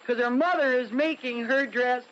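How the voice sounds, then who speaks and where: A woman's voice: high voice, moderate pace, close to an everyday voice. An actress playing a moody young wife with a girlish streak, in a vintage radio drama. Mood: giddy. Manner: gushing, petulant, swinging between excitement and weariness. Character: moody young wife who slips into giddy teenage girlhood. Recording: dull, noisy old radio broadcast.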